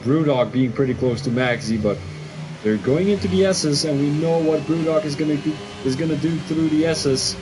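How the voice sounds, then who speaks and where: A man from Belgium with a Belgian accent, a Dutch speaker commentating a sim race live, excitable and quick to second-guess his calls. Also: fairly high pitch, noisy background.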